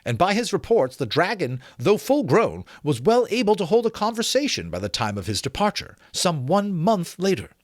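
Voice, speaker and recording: A man's voice: high-pitched, sing-song, normal speed, few pauses, no echo, studio quality. A man hosting a book read-aloud, shifting between theatrical, formal reading and informal asides. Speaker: host reading books aloud.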